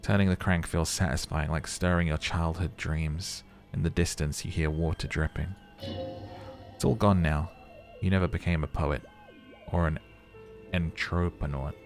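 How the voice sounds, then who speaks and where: A man reading as a moody, literary second-person game narrator in a measured, dramatic manner. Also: low-pitched, steady tone, faint music behind. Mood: wistful.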